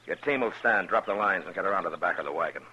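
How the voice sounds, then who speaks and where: An American actor playing a stern, watchful U.S. Marshal in a radio western, speaking in a terse, commanding, plainspoken way. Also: male voice, low voice, lively intonation, very fast, highs cut off. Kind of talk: 1950s radio western acting. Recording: noisy, crackly old radio recording.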